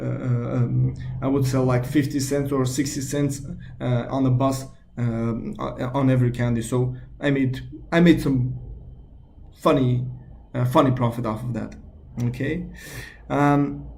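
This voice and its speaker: A man's voice: medium pitch, creaky, darkish tone, a little hiss or hum. A young man from Belgium with a Belgian Dutch accent, a native Dutch and French speaker talking in English, introducing his sales channel in an earnest, hesitant way with many fillers and restarts. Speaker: YouTube sales channel host.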